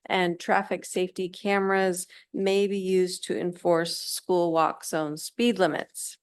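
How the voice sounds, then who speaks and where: A woman staffer lays out a resolution for the council in a clear, explanatory and polite way. The recording is dry and close, with no room echo. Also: lowish voice, little pitch movement, a little slow. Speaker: city staff presenter. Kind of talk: formal briefing to council.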